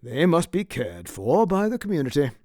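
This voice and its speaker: A man voicing a self-assured gentleman in an audiobook, formal, measured and placating in his wording. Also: on the high side, huge pitch swings, no echo, studio quality, pitched above the performer's own voice. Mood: earnest. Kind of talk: audiobook character acting.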